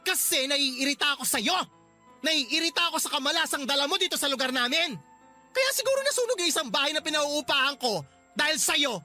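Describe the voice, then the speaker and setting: An actor playing a cruel, hot-tempered male landlord who scolds and shouts insults in a radio drama. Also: sits high, lively intonation, brisk, heavily acted. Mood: angry, bullying. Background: music.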